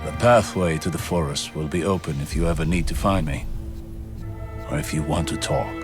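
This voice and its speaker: An actor playing a wise, weary old warlock mentor in a video game, speaking in a measured, portentous way. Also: male voice, a bass voice. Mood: reflective, warm. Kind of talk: video game cutscene acting. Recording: loud music behind the voice.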